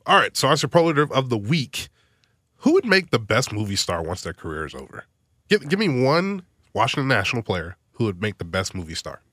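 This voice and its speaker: A man's voice: medium pitch, huge pitch swings, a little fast, a bit bright, no echo, studio quality. A man producing a sports podcast, chiming in with casual chat.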